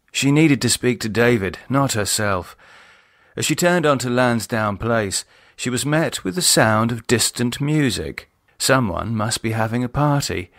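A man's voice: lowish voice, fairly bright tone, dry recording, clean studio sound. A man with a British accent reading a novel aloud as scripted dramatic narration. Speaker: audiobook narrator and author.

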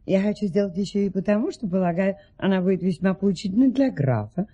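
A gracious duchess in a radio play, with a dark, husky voice. She speaks in a refined, deferential way with lively courtly intonation. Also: medium pitch, fast talker, lo-fi sound. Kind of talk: theatrical radio play acting.

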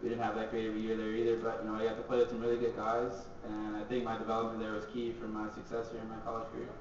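A man's voice: fairly low pitch, monotone, rapid-fire, a little hiss or hum. A young man, a college hockey player, answering reporters at a press conference. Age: young adult. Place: large echoey press room. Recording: echoey, distant, dull.

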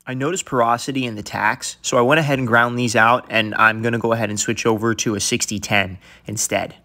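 A young man, a welder, calmly explaining each step of his repair work. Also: medium pitch, a little fast, noisy background. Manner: calm, matter-of-fact explaining. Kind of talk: voice-over explaining welding work.

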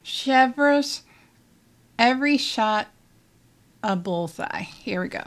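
A young woman reacts to a game video from her small bedroom setup. She speaks slowly, pausing often with fillers. She sounds relaxed and unhurried, recorded through an earphone mic. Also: a little high, music in the background. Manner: relaxed, hesitant, many fillers. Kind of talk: casual reaction chat.

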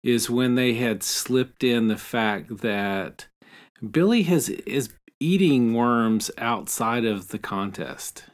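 Guy podcast cohost just chatting about a book, average pitch. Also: slow. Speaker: podcast co-host. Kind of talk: casual book discussion.

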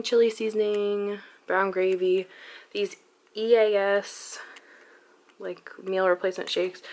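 A woman's voice: mid-range voice, slight background noise. A young woman chatting casually in a voice-over, walking through items in her home kitchen and listing them with fillers. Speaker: lifestyle vlogger.

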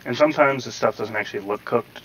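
A man's voice: medium pitch, quick pace, fairly bright tone, low-quality recording. A man giving casual gameplay tutorial commentary, rambling step by step with some hedging, his voice sounding slightly robotic over the recording. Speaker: gaming tutorial host. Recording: slightly robotic processing, faint background noise.

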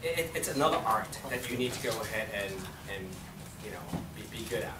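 A man's voice: mid-range voice, expressive, quick pace. A man in his forties presenting at a business seminar, upbeat and joking, who keeps saying "go ahead and". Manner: upbeat, jokey, repeats "go ahead and". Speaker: workshop presenter. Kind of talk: seminar talk and Q&A. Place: small meeting room with audience. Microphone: distant camera mic. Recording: echoey room from far off, background hum.

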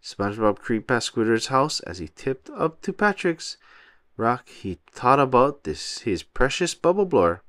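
Guy doing a kids book read aloud, really expressive, mid pitch, dry close sound. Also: a little slow, no room sound. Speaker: storybook reader.

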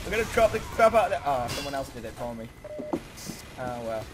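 A young man giving casual, excitable, bantering commentary while hosting a gaming video. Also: medium pitch, fairly quick. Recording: noisy amateur game-chat mic.

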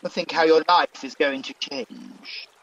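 A man's voice: fairly high pitch, a little slow, in a large hall, muffled recording, crowd noise, close to an everyday voice. An actor playing a scheming, boastful Demon King villain in a radio pantomime, with a grandiose, theatrical delivery. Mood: coaxing.

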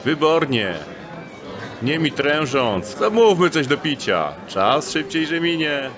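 A man's voice: on the high side, big pitch swings, slow pace, music in the background. Man acting a pompous, affected rogue. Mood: smug. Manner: affected, archaic, pompous phrasing. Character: confident male thief posing as a pompous gentleman. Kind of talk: fantasy audio drama acting.

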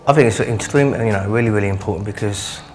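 A man's voice: fairly low pitch, fast talker. A man giving an unscripted interview, searching for words with many filler phrases. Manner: often says "you know". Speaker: interviewee, patient.

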